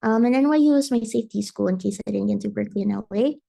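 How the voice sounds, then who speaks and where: Creaky-voiced young woman from Indonesia. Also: mid-range voice, rapid-fire, warm tone. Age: young adult. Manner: detailed, advice-giving, frequent ums. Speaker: transfer student panelist. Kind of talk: panel answer, casual.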